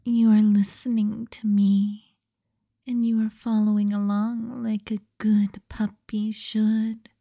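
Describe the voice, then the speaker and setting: A woman hypnotist speaking slowly in a hushed, commanding way, often dropping into a whisper, close to the microphone. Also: medium pitch, level tone, vocal fry, no echo.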